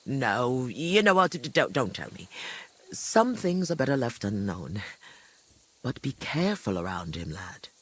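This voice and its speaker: Husky, very animated old granny. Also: female voice, rumbling low, measured pace, exaggerated voice. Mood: concerned, warning. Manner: rambling, hesitant recollection with frequent second thoughts. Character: chatty, kindly old village granny, easily amused. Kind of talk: video game dialogue acting.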